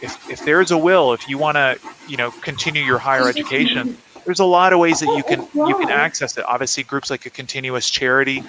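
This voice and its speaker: A man from South Carolina speaks as a guest author in an interview. His voice sits at a medium pitch and his delivery is explanatory and conversational. Clear background noise runs under the recording. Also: animated, fast talker. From South Carolina, United States.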